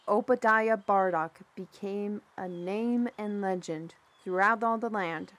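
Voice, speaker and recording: A woman's voice: medium pitch, slight background noise, lightly acted. Woman acting a folksy fantasy storyteller. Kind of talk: fantasy audio roleplay, storytelling.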